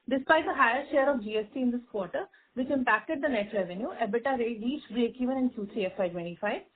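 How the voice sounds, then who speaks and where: A woman's voice: fairly high pitch, husky. A woman with an Indian accent formally reading out a scripted financial report as a company executive, stumbling now and then over the figures. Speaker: company executive presenting results. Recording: tinny, band-limited conference phone line.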